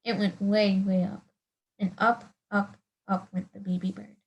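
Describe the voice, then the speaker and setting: A woman with a medium-pitched voice reads a picture book aloud for storytime. She narrates as a volunteer, in simple, clear phrases. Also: level tone, a little slow, lo-fi sound.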